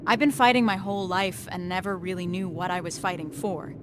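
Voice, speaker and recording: A woman's voice: lowish voice, fairly even intonation, brisk, lightly acted. Woman playing a determined young resistance fighter over noise. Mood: firm, caring. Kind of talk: dramatic video game cutscene acting. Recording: clear background noise, vehicle-like ambience.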